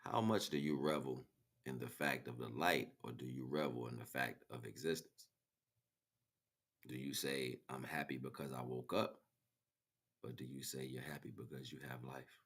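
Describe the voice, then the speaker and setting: A man's voice: rumbling low, moderate pace, a bit bright, husky. A man giving an impromptu spiritual monologue as a teacher, speaking directly to the listener and repeating phrases for emphasis.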